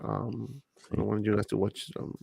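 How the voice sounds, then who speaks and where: A man's voice: fairly low pitch, little pitch movement, moderate pace, gravelly, a little mellow, husky, dry recording. A man hosting a casual livestream, laughing often as he reads out viewer super chats. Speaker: livestream host.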